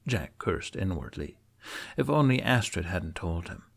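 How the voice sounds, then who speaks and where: Man narrating a story with animated pitch. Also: measured pace, vocal fry. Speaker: fan fiction narrator.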